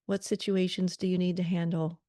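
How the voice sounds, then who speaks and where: A woman leading a guided meditation prayer in a calm, soothing manner, leaving gentle pauses between short phrases. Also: fairly low pitch, no echo, clean studio sound.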